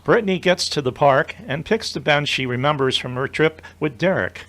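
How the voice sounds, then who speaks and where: A man's voice: a little high, moderate pace, fairly bright tone. A man reading scripted narration for an audio drama as its narrator.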